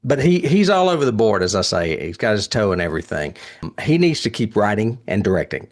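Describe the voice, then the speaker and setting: A man's voice: on the low side, very animated, fairly quick. A man hosting a casual film review chat, speaking with enthusiasm and often chuckling. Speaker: podcast host reviewing horror films.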